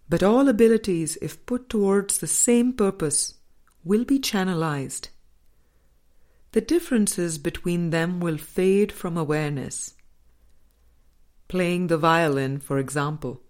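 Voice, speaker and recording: A woman reading a spiritual book as scripted narration, in a measured, deliberate manner with frequent pauses. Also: on the low side, a little mellow. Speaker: audiobook reader.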